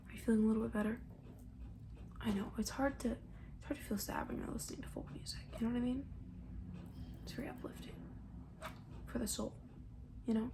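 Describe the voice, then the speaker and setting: A woman doing soft-spoken ASMR roleplay as a camp counselor, chatting in a gentle, breathy, rambling way that often slips into a whisper. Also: fairly high pitch, fairly even intonation, fairly quick, pauses often. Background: banjo.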